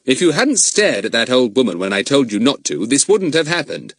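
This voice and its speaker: Guy playing a bossy know-it-all boy, a bit higher than his own voice, very lively. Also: no echo, studio quality. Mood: firm. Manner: brisk, commanding. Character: clever, bossy schoolboy detective.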